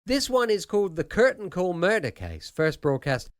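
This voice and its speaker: A man's voice: sits high, sing-song, moderate pace, dry recording, studio quality. A man hosting a nighttime podcast, giving a soothing scripted introduction to an evening show. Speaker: podcast host.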